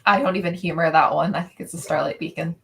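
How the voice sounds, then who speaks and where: A woman's voice: deep voice, steady tone, fast talker, gravelly. A woman guesting on a podcast, chatting casually as a fan.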